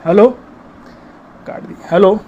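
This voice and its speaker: A man's voice: unhurried, warm tone. A man with an Indian accent arguing on a recorded phone call, reproachful and insistent, preaching and pressing his point over the other person. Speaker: caller confronting a journalist. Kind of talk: argumentative phone conversation.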